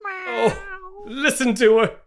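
A woman's voice: sits high, animated, takes it slowly, a crowd in the background. A woman playing an anguished, guilt-ridden husband, pleading melodramatically in a campy old-style radio horror play. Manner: overwrought, pleading. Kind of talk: campy 1930s radio drama table read.

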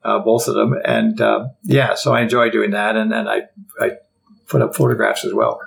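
An older Canadian man being interviewed, rambling and halting with frequent restarts. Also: lowish voice, little pitch movement, creaky, low-quality recording. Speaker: podcast guest. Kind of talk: casual interview conversation.

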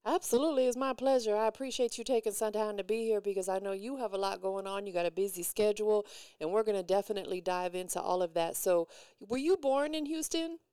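A woman's voice: medium pitch, fast talker, warm tone, smooth, no room sound, clean studio sound. A woman hosting a casual hip-hop radio interview, slangy and encouraging with her guest. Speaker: radio host interviewing a musician.

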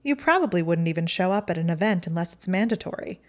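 A woman voicing a haughty, sharp-tongued teenage witch girl in a storybook reading, with a mocking, high-reaching delivery. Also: animated, fast talker, close to an everyday voice. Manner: mocking, acidic, voice rises high.

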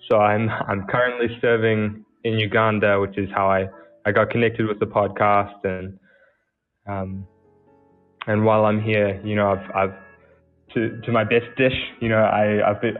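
Guest, New Zealand man, low flat voice, hesitant casual talk, tinny phone line. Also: measured pace, soft background music. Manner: relaxed, hesitant with repeated starts and ums. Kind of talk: casual chat.

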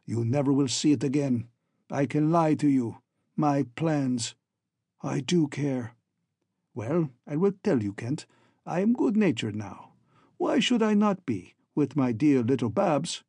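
A man's voice: on the high side, lively intonation, measured pace, warm tone, slightly rough, no echo, full character voice. Man voicing a gloating, oily hunchback villain. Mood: ironic good humor. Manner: broken foreign-sounding syntax, ironic, oily. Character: gloating hunchback villain, falsely friendly, ingratiating, lustful schemer.

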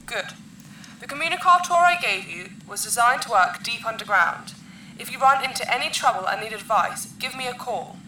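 Commanding insectoid alien queen, regal and formal, bright high voice, sci-fi audio drama. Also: lively intonation, echoey room, far from the mic, a little hiss or hum, rough recording. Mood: regal, measured. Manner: formal, imperious, measured phrasing.

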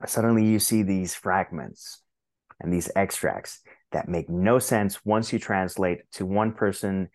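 Puerto Rican man, deep voice. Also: unhurried, dry recording. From Puerto Rico. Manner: animated storytelling, frequent restarts. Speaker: guest, translator and author. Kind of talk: conversational interview.

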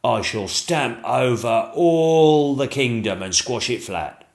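A man voicing a boastful, crafty dragon who speaks in pompous, drawn-out threats. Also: mid-range voice, animated, creaky, voice pushed up. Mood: blustering. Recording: roomy echo.

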